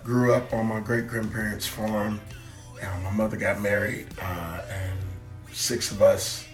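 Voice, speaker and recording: A man from Kentucky, a guest singer, telling relaxed stories in an interview with many filler words. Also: lowish voice, unhurried, soft background music. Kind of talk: interview storytelling.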